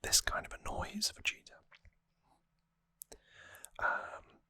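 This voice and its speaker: Casting: ASMR host for trivia recaps, fully whispered, crisp and bright, hesitant phrasing, close on the mic. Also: no echo. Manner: unhurried whispering with hesitant pauses between short phrases. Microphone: close microphone.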